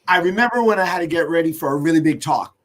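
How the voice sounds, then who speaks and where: A man's voice: on the high side, expressive, fast talker, a bit bright. An American man hosting a casual interview, telling anecdotes in an easy conversational way. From United States. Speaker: host.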